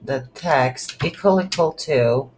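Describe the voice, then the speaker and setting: A non-native English speaker teaches coding in a slow, halting way, thinking aloud step by step. The pitch is medium, and there is faint noise with keyboard typing behind the voice. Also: a little mellow. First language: not English. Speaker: programming tutorial instructor. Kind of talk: screen-recorded coding tutorial, unscripted explanation. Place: indoors at a computer.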